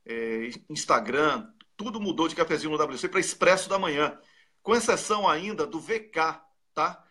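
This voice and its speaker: A man's voice: a little high, pushed and bright. A man hosting a casual political talk show in an informal, joking, conversational way. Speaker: host.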